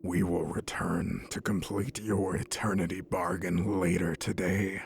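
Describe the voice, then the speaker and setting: An actor playing a dark necromancer god with a slow, imperious and formal manner, in an audio drama. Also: male voice, sits low, steady tone, gravelly, a little hiss or hum. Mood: cold, commanding.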